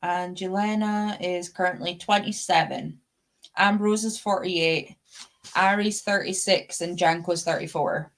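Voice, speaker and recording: A woman's voice: lowish voice, fairly even intonation, a little slow. A woman with a Scottish accent chatting casually and fannishly about books as host of a live readalong, with the odd swear word. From Scotland. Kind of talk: casual book chat.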